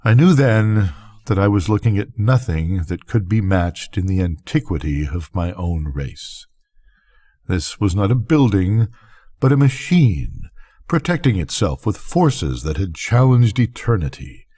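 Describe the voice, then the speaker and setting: An older man reading a bedtime story as scripted narration, with measured, deliberate phrasing. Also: low-pitched, very animated, dark tone. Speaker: reader of bedtime stories.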